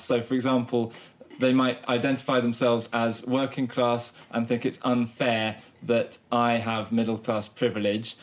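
A man with a Southern English accent co-hosting a podcast in casual chat, joking in a mock-pompous way and rambling with restarts. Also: medium pitch. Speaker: podcast co-host.

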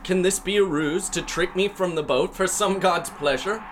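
A man's voice: sits high, normal speed, a little hiss or hum, close to an everyday voice. A man acting a desperate, stubborn shipwrecked sea captain in a declamatory, theatrical audio drama monologue. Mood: defiant, wary. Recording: music behind the voice.

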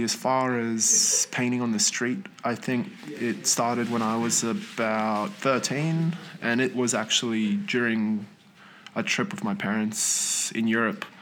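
A man's voice: fairly low pitch, a bit bright. A young man from Australia, a guest artist chatting casually and rambling with many fillers in a noisy library. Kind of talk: casual interview chat. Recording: faint background noise in a library.

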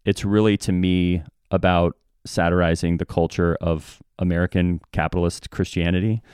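A man's voice: low voice, little pitch movement, moderate pace, a little mellow, smooth, dry recording, clean studio sound. A man talking casually and reflectively as a guest in an interview, often acting out bits of remembered dialogue. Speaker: podcast guest, improv comedian. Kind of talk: casual conversational interview talk.

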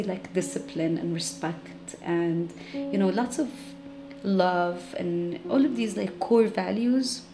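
Female interviewee, thirties, lowish voice, reflective, filler-heavy, guitar bed. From Jordan. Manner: reflective, many fillers like "you know" and "like". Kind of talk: personal storytelling. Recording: music playing under the voice.

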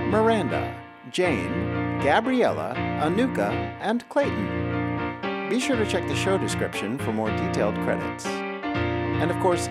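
A man reading a scripted podcast outro as the show's announcer. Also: mid-range voice. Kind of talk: scripted show outro narration. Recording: loud guitar music behind voice. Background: guitar music.

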